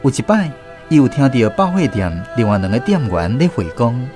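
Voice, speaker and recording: An actor with a Taiwanese accent narrating a family tale as the storyteller in a radio drama. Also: male voice, medium pitch, big pitch swings, moderate pace, music in the background, close to an everyday voice.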